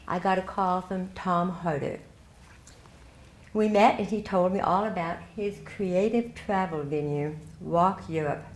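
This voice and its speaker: Older lady giving a talk, reflective storytelling, roomy hall sound, bit of noise. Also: medium pitch. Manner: reflective, warm storytelling with light laughs. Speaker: keynote speaker. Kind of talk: speech to a club meeting. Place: meeting hall. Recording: echoey hall, faint noise.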